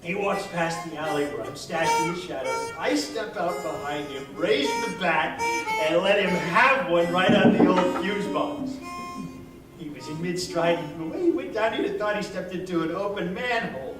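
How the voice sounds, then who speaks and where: A man's voice: high-pitched, lively intonation, moderate pace. An American man giving a dramatic first-person reading of a hardboiled short story in a colloquial, streetwise manner. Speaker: story reader. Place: indoor arts venue, live performance. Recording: echoey live venue, distant mic, music behind. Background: live guitar, harmonica and percussion music.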